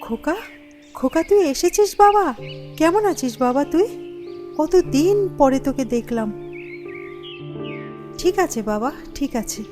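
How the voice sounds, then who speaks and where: A woman voicing an anxious, doting village mother in an animated ghost story, fretful and coaxing. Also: sits high, a little slow, long pauses, over music. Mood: overjoyed, tender.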